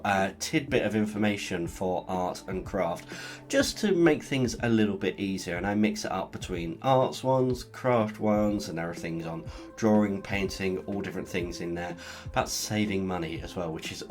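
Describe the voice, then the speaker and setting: A man's voice: lowish voice, normal speed, soft background music. A man with a stuffy cold, chatting casually as he talks through a painting. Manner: relaxed, chatty, explains as he paints. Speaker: art channel host. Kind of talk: casual art tutorial narration.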